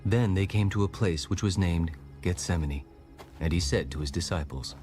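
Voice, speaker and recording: A man delivers scripted Bible narration. His voice is very low and his delivery is measured and solemn. Faint music runs beneath him. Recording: soft music behind the voice.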